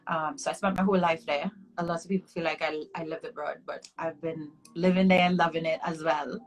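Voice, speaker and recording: A woman's voice: deep voice, steady tone, fairly quick, soft background music, rough recording. Trinidadian woman in her twenties, chatty. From Trinidad and Tobago. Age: 20s. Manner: chatty, reflective, frequent ums and laughs. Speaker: interview guest, hair artist. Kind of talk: casual interview talk.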